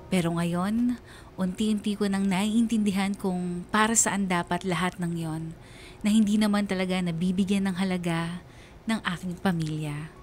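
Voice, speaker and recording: Lowish-voiced wistful young woman narrator played by an actress. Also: natural voice. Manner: confessional, wistful. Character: troubled, reflective young woman outcast telling her story. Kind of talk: dramatized letter narration for radio.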